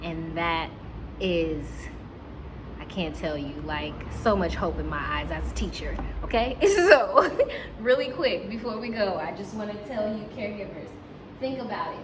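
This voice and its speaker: A woman's voice: fairly low pitch, moderate pace. Encouraging woman storytime teacher, noisy recording. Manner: animated, encouraging, addresses listeners as friends. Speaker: teacher and children's storytime host. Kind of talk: read-aloud storytime with asides to young children and caregivers.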